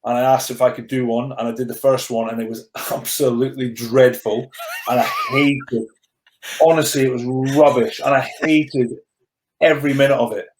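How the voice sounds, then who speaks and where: A man's voice: medium pitch, steady tone, moderate pace, a little hiss or hum. A man in his thirties with a mostly controlled stammer, speaking in an ordinary mid-range voice. He tells his story earnestly and reflectively, with frequent restarts and repeated words. The sound is echoey, as if recorded in a bare room. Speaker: podcast guest, rugby player turned coach. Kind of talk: candid personal storytelling in conversation.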